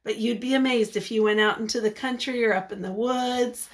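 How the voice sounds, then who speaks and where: Lady teacher doing a kids read-aloud, kinda high voice, expressive, asks the little listeners questions. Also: fairly quick. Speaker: teacher reading a picture book aloud.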